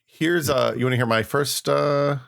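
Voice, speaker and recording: A man's voice: on the high side, moderate pace, gravelly, fairly bright tone. A man hosting a podcast, chatting casually and telling stories in an informal, wryly exaggerated way. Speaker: podcast host. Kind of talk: casual chat with reminiscing and reading aloud.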